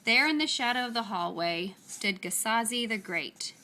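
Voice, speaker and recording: A woman reading a children's story aloud with expressive, deliberate, dramatic phrasing. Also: a little high, slight background noise.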